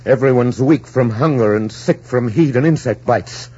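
An actor playing a blunt, disgruntled deserter with a husky voice in a 1940s radio drama. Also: male voice, mid-range voice, normal speed, a little mellow, close to an everyday voice. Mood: defiant. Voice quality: husky, rough. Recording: dull 1940s radio recording.

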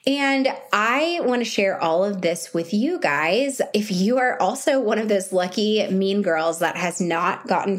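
A woman in her thirties, home sick with a sore, scratchy throat, chatting to her viewers in a casual video diary. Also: mid-range voice. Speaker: vlogger.

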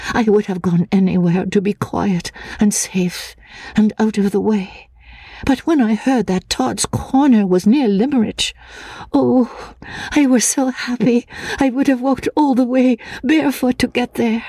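A woman voicing a fragile, anxious, childlike young woman in a dramatic audiobook, soft and husky, hesitant and pleading. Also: fairly high pitch, no room sound, pitched above the performer's own voice. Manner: pleading, hesitant, naive, with repeated words.

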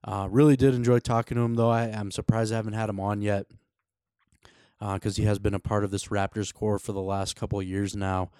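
A man's voice: on the low side, level tone, a little fast, dark tone, clean tone, no echo, studio quality. A man hosting a sports podcast, talking in a casual, laid-back, conversational way. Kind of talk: casual sports show commentary and interviewing.

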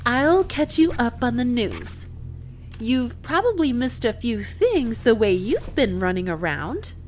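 A woman playing a breezy, wry moon base quartermaster in an audio drama, brisk and familiar. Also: on the high side, very animated, a little hiss or hum. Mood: breezy, welcoming.

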